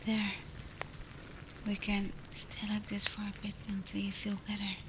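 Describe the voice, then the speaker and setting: Soft, slow female alpha werewolf, acted by a woman, storm noise. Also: medium pitch, monotone, lightly acted. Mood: soothing. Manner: soft, breathy, often near a whisper, soothing. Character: caring adult female alpha werewolf, gently protective, teasing. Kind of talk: ASMR roleplay, spoken intimately to the listener. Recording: loud storm ambience over the voice. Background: thunderstorm and rain ambience.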